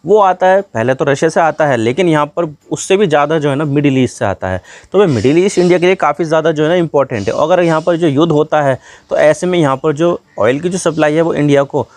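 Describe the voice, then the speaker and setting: A man's voice: fairly high pitch, fast talker, hardly any pauses, a little mellow. A man from India gives a conversational explainer, addressing viewers colloquially and leaning on repeated filler phrases. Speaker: news explainer host.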